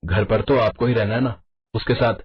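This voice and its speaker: A man playing a casual, teasing young brother-in-law in a family story, with a husky voice. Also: fairly low pitch, steady tone, moderate pace, dry recording. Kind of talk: Hindi story cartoon drama. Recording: dull lo-fi audio.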